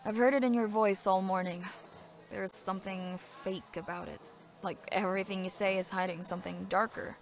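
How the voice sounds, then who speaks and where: Blunt, sharp-tongued elder sister played by a woman. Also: medium pitch, steady tone, moderate pace, vocal fry, close to an everyday voice. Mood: concerned, probing. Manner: scolding, forthright. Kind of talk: audio drama acting.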